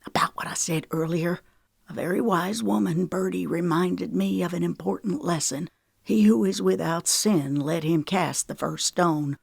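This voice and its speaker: A gruff older man, remorseful yet protective, talking low and soft, as a woman reading an audiobook puts him on. He grumbles more than he speaks, and the voice sits deep and close, with no room around it. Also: normal speed, no echo, full character voice. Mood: regretful. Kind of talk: audiobook character voice.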